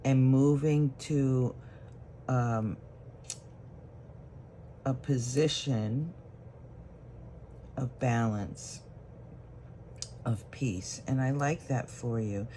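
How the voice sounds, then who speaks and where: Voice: medium pitch, dark tone, a little hiss or hum, poor audio. A tarot reader giving a solo reading straight to the viewer, thinking aloud while drawing cards. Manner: unhurried, thinking aloud as cards are drawn.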